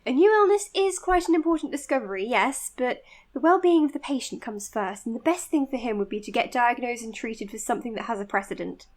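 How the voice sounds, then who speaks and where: A woman playing an earnest, modest young psychiatrist in an audio drama, speaking in a measured, precise, slightly hesitant way. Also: a little high, lively intonation, natural voice. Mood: earnest, careful. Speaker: doctor being interviewed on a podcast. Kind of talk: audio drama acting, natural interview and voice notes.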